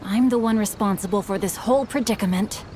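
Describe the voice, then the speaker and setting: A woman's voice: medium pitch, brisk, a little mellow, slight background noise, lightly acted. A woman voicing a polite young male swordsman and author who speaks in a formal, earnest way. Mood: sheepish.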